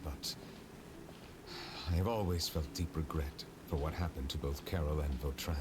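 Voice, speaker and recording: A very deep, solemn judge. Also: male voice, steady tone, background noise. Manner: measured, formal and solemn. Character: stern, formal male chief justice, adult. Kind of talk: video game voice acting.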